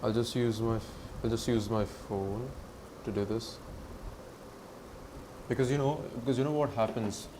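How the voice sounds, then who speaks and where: A man's voice: medium pitch, steady tone, normal speed, background noise. A young man streaming live, talking casually, repeating himself and swearing now and then. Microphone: headphones with monitoring.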